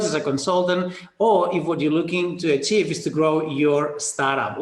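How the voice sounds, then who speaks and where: A man from Spain with a Spanish accent hosts a live webinar, chatting upbeat, greeting attendees by name and stumbling through restarts and fillers. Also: a little high. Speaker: webinar host. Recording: roomy webinar audio.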